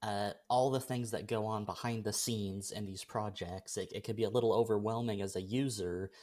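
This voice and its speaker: A man's voice: on the low side, fairly even intonation, moderate pace, darkish tone. A man hosting a podcast, asking friendly, thoughtful questions. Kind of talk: casual interview questions.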